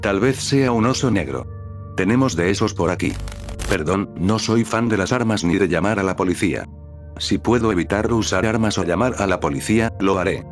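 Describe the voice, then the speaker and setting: Male horror narrator, very deep voice, steady and measured, music bed underneath. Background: background music.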